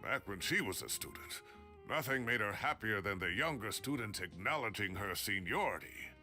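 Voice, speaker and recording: A man's voice: fairly low pitch, expressive, moderate pace, pushed and bright, faint music behind. A man playing a proud, expansive older scholar in a video game, with a theatrical, hearty delivery. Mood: boastful.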